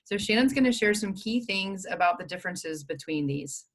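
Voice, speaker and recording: A woman's voice: mid-range voice, animated, moderate pace. A woman moderating an online group talk, thanking speakers and handing over the floor. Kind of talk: casual hosting.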